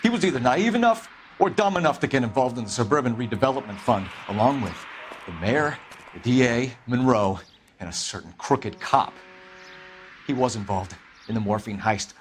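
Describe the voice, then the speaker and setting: An actor playing an intense, righteous police detective with a forceful, commanding manner in a video game cutscene. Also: male voice, mid-range voice, animated, moderate pace, a little hiss or hum, lightly acted. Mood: angry, defiant.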